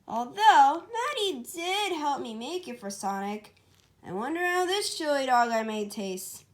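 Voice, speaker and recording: A woman voicing a cute, shy young female hedgehog in an amateur storybook read-aloud, girlish, excitable and easily flustered. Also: high voice, measured pace, voice pushed up. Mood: pleased, surprised.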